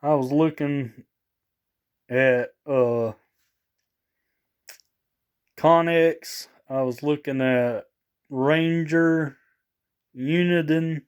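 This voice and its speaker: A man casually showing off gear for a vlog, rambling and halting as he repeats himself. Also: on the high side, clean tone. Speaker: vlogger showing radio gear. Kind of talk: casual product showcase.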